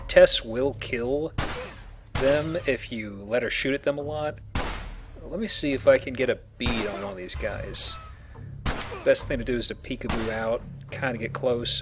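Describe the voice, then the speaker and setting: A man's voice: medium pitch, normal speed, noisy background. Wry man playing a game. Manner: wry, joking. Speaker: let's play host and player. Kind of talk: casual gameplay commentary.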